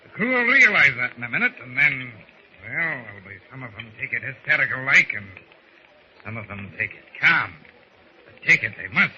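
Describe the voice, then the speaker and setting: A man playing a gruff, fatherly seaman in command, in old radio serial style. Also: medium pitch, very animated, moderate pace, pushed and bright. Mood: grave, resigned. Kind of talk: 1940s radio serial acting. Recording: dull, hissy old radio recording.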